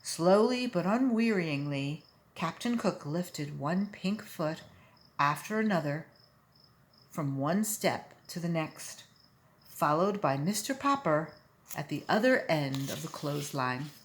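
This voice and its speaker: A woman reading a children's story aloud in an expressive, emphatic storytelling manner. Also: deep voice, slow. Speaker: volunteer story reader.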